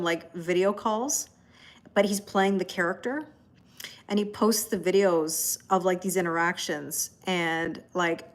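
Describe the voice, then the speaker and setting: A woman from Canada hosting a tarot livestream, chatting casually and rambling as she answers viewers by name, often laughing. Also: fairly low pitch. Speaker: tarot reader and livestream host.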